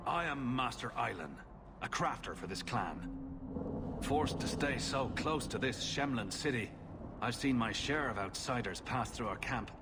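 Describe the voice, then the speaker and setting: A man's voice: medium pitch, animated, a little slow, heavy background noise. An actor playing a proud, guarded elven craftsman in a fantasy video game, speaking formally.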